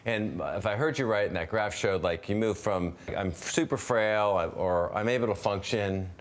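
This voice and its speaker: A man's voice: fairly low pitch, moderate pace. A man in his fifties hosting a webinar over a video call, thinking aloud with restarts and asking questions. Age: 50s. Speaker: webinar host. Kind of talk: conversational interview.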